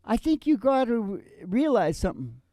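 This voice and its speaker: A man's voice: very high-pitched, very animated, warm tone, dry recording, studio quality. A man hosting a country music comedy show, chatting casually and joking between songs. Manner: joking, rambling, quick bursts. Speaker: country singer-songwriter and show host. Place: warehouse venue.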